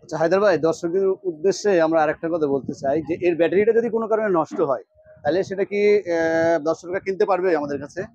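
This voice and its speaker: Male interviewer, fast casual questions, fairly high voice; lo-fi. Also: darkish tone, no room sound. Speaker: off-side interviewer prompting the presenter.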